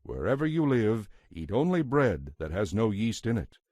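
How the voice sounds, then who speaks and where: A man narrating scripture in a solemn, measured and authoritative way, as part of a dramatized reading. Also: on the low side, very animated, darkish tone, no room sound, clean studio sound. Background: background music.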